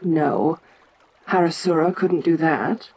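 Woman as a slow, imperious ancient monster queen. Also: low voice, a little mellow, slightly rough, heavily acted, low-quality recording. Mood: curious, commanding. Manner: domineering, deliberate, stately. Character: ancient giant female kaiju queen, proud, imperious, curious. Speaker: voice actor in audio roleplay. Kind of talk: dramatic audio roleplay addressed to the listener.

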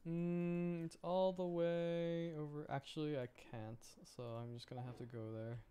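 A man's voice: fairly high pitch, little pitch movement, moderate pace, dark tone, dull audio, slight background noise. A man streaming a video game, giving casual, amused commentary and exclaiming at what he sees.

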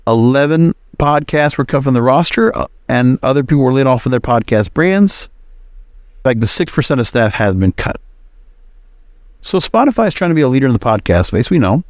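A man's voice: mid-range voice, lively intonation, brisk, no echo. A man hosting a solo podcast, giving news commentary in a conversational, loosely off-the-cuff way into a close microphone. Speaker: podcast host.

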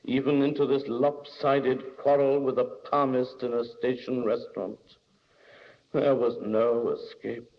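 A man's voice: medium pitch, flat delivery, a little slow, dull audio, natural voice. A man voicing a brooding, bitter young man in a story, lyrical, scornful and self-dramatising.

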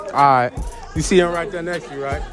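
A man's voice: on the high side, a little fast, music in the background, crowd noise. A young man co-hosting a casual public interview outdoors, teasing and laughing in slang. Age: young adult. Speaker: street interviewer. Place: outdoor schoolyard.

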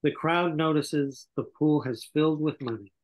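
A man's voice: fairly high pitch, normal speed, low-quality recording. A man in his sixties reading scripted stage directions as narrator at an online table read. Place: small home room, indoors, on a video call. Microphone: computer video call.

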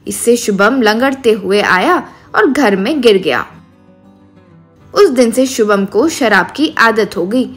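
A woman's voice: on the high side, lively intonation, slow pace, slightly rough, soft background music. A woman narrating a scripted storybook tale as the narrator.

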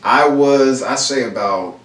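A man's voice: mid-range voice, takes it slowly, a bit bright, recorded from a distance. A man in his thirties, raised in Houston, talking in a laid-back, slangy way as a guest painter in an interview. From raised in Houston, Texas. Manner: laid-back slangy talk with lots of fillers. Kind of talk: casual interview chat. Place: indoor room, seated on a leather couch. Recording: roomy echo, voice a bit off mic, faint room noise.

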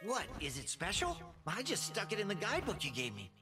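Brash young dragon, cheeky, lively and high, game music behind. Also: male voice, brisk, full character voice. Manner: cheeky, wisecracking. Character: cocky young male purple dragon, brash.

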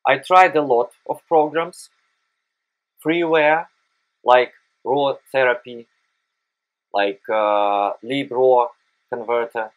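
Slow, halting Russian-accented man. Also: mid-range voice, steady tone, low-quality recording. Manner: hesitant, frequent "uh" pauses, careful non-native phrasing. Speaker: photography tutorial host. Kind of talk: screen-recorded software tutorial.

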